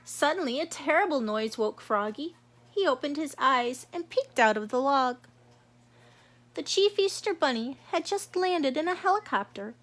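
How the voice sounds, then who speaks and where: A woman's voice: sits high, expressive, moderate pace. A woman narrating a children's storybook as a read-aloud. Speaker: storybook reader.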